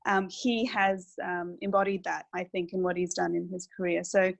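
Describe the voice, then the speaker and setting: A woman, an academic director, warmly introducing a guest with eager words and some hesitant fillers. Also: lowish voice, level tone, moderate pace, creaky. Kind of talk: welcoming introduction.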